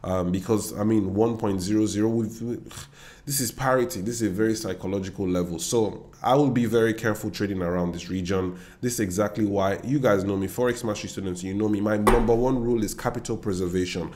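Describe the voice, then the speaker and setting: Young Nigerian trader, lowish voice, confident and chatty, desk-mic screen-share talk. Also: male voice, quick pace, a little mellow. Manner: confident, chatty, talks straight to viewers with asides. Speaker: forex trader and educator. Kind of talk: screen-share trading analysis. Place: indoor room.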